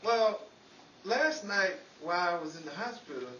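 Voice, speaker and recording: An actor playing a hapless, excitable man in a 1940s style radio comedy. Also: high-pitched, normal speed, recorded from a distance. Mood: sheepish. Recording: dull, echoey, very noisy crowd behind.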